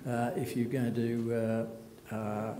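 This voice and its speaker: Older male conference speaker, mellow tone, halting delivery, reverberant hall. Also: medium pitch, level tone, a little hiss or hum. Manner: halting, many fillers and restarts. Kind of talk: presenting survey results. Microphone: podium microphone. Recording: echoey hall.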